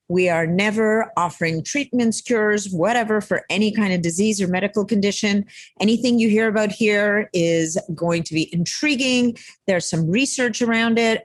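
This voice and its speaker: A woman hosting a podcast, chatting upbeat with her listeners and guest. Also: mid-range voice. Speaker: podcast host. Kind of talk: podcast interview.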